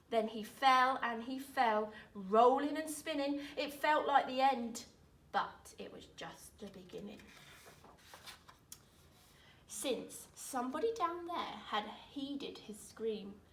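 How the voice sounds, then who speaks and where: A woman reading a rhyming children's picture book aloud in an expressive, dramatic way, with deliberate phrasing. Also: sits high. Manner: dramatic, deliberate phrasing with emphatic swells and hushes. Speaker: storyteller reading aloud, teacher. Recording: roomy echo, distant microphone.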